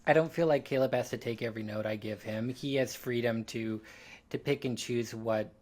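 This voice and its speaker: A man with a medium-pitched voice talks as a podcast co-host. He is reflective and earnest, phrasing his thoughts in a measured but casual way. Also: darkish tone.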